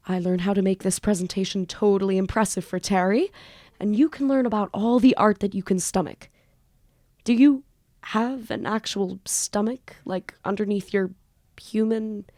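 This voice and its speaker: A woman's voice: medium pitch, normal speed, dark tone, lightly acted. A woman playing a flippant, theatrical teenage student magician in an audio drama, with a wordy, casual manner. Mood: eager, persuasive. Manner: casual teen banter, theatrical wordy narration. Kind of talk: audio drama acting and first-person narration.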